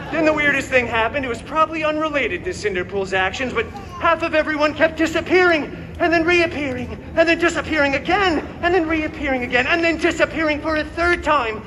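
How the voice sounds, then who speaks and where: A man playing a cocky, wisecracking comic-book antihero, telling a fairy tale theatrically to a live audience with sarcastic asides. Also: very high voice. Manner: sarcastic, self-mocking asides. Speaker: costumed character performer hosting live story show. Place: outdoor theme park show, filmed from audience. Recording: noisy outdoor crowd recording of live show.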